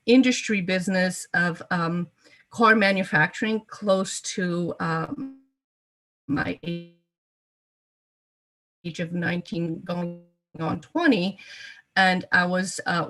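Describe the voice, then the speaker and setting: Woman in her fifties, from Portugal, native Portuguese speaker, lowish voice, halting interview talk full of ums. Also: a bit bright. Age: 50s. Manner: hesitant, frequent ums and repeated words. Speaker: interview guest. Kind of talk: conversational life story interview.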